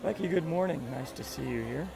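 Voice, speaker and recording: A friendly man with a gravelly voice hosts a casual livestream while walking outdoors, commenting and greeting viewers by name. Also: on the high side, animated, a little fast, low-quality recording. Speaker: livestream host. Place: outdoors in a busy theme park. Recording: outdoor park with loud background music. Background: background music.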